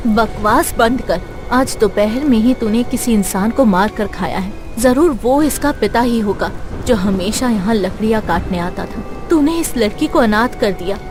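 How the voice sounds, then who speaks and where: Woman voicing a motherly, higher-pitched talking cow. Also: moderate pace, close to an everyday voice. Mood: scolding. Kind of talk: Hindi cartoon voice acting. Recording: prominent music bed behind.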